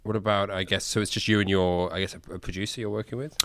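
A man co-hosts a casual podcast interview, easygoing and quick to agree. His voice is low. The recording is dry, with little room sound. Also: little pitch movement, a bit bright, no echo. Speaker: podcast co-host.

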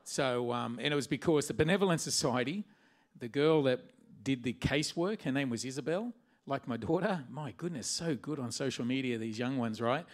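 A man speaking at a church service, with a mid-pitched voice. He talks in a relaxed, jokey way and leans on fillers as he goes. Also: normal speed. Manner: chatty and jokey, full of fillers. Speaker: church interview guest. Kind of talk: personal testimony.

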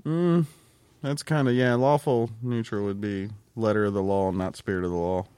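A male podcast host with a fairly low voice, recorded close and dry with little room sound. He banters casually, teasing his companions and playing the aggrieved party. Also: steady tone, normal speed, a little mellow, clean tone, no room sound.

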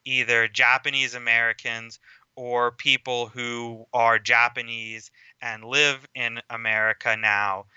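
A man speaking as a podcast guest in casual film discussion, talking in a measured, explanatory way at length. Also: medium pitch, level tone, bright, forceful tone, clean tone, no echo, lo-fi sound.

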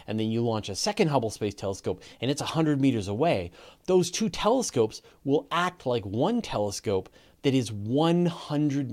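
A Canadian-accented man hosts a live question-and-answer stream. He explains each idea step by step in a relaxed, conversational way, at an ordinary mid pitch for a man. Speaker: host of a space podcast. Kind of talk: livestream Q&A, explaining astronomy to viewers.

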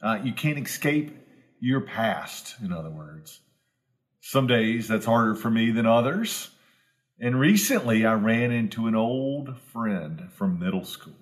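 A pastor in his forties speaks slowly and deliberately, taking his time over each phrase. His voice sits at an ordinary middle pitch for a man. He is earnest and homespun, and his reflection builds to emphatic repetition. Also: lively intonation. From Southwest Virginia, US. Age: 40s. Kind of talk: reflective devotional talk.

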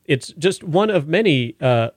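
A man's voice: a little high, animated, unhurried, no echo, clean studio sound. A man hosting a radio music show, chatting casually as he announces songs.

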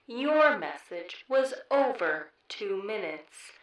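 A woman's voice: medium pitch, sing-song, slow pace, echoey room. A woman voicing an impersonal automated voicemail system, giving a recorded phone announcement that sounds distant. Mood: neutral.

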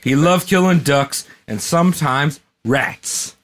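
A man hosting a casual comedy podcast, telling a history story in a lively way and laughing often. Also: on the high side, slow pace. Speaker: podcast host.

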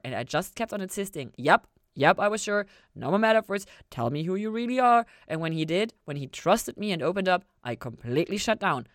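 A frank female therapist character in an audiobook-style story, acted out by a woman reader. Her voice sits a little low in a dry recording, and she confides in an emphatic, reassuring, conversational way. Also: no room sound, lightly acted.

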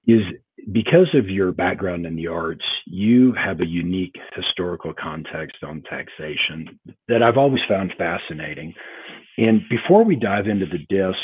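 A man hosting a conversational podcast interview, speaking in a halting way and often restarting his phrases. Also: low voice, husky. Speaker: podcast host. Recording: tinny phone-like line.

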